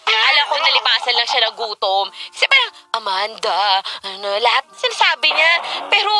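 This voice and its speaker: Woman acting as exasperated young girlfriend, high and very bright voice, gossipy confessional drama, music behind. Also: sing-song, moderate pace, full character voice. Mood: gossipy, baffled. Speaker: drama narrator and lead actress.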